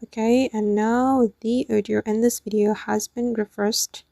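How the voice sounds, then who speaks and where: A woman's voice: a little high, level tone, unhurried, vocal fry, noisy background. A woman hosting a casual step-by-step app tutorial, explaining it off the cuff in a slightly hesitant way. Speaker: tutorial host.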